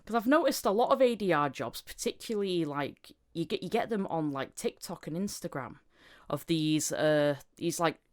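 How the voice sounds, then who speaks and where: A woman's voice: lowish voice, animated, moderate pace. A woman hosting a podcast, chatting casually and telling anecdotes about her own work. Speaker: podcast host, voice actor.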